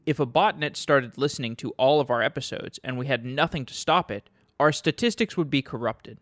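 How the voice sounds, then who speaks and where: A man's voice: mid-range voice, brisk, a bit bright, no room sound, studio quality. A man reading a scripted sponsor message as a podcast host. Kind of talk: scripted ad read.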